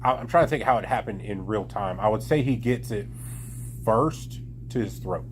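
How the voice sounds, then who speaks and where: A man acting as game master, narrating an improvised tabletop game and talking with players in a halting, deliberate way. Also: lowish voice, slight background noise.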